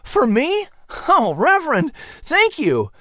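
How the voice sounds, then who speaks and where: A narrator acts out an earnest young colonial boy for a children's audiobook. He speaks high and excitably, grateful and eager, with a very lively swing. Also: slow pace, dry recording, clean studio sound, heavily acted. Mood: delighted, grateful.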